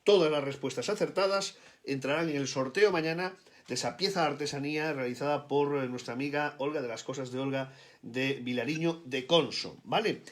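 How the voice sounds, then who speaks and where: A man with a Galician accent hosting a radio show, chatting briskly and often checking in with "vale?". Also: mid-range voice. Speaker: radio host.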